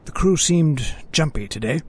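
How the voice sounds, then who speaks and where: Animated, musing yachtsman played by a man. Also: fairly high pitch, normal speed, husky. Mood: uneasy. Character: genial, philosophical yachtsman, uneasy and superstitious hunting companion. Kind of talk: old-time radio drama acting.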